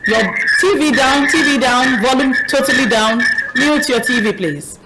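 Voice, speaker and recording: A Nigerian woman hosting a phone-in, briskly repeating her prompts and switching between Yoruba and English. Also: high voice, very noisy. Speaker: radio-TV phone-in host. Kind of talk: phone-in chat.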